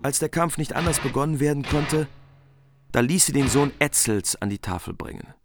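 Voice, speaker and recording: A man's voice: medium pitch, expressive, moderate pace, noisy background. A man narrating a medieval epic as solemn, scripted storytelling.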